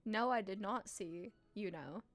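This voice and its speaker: A woman streamer, playful and expressive, reading a visual novel aloud and chatting on a live stream. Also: medium pitch, unhurried. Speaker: game streamer. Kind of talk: live stream chat and read-aloud narration.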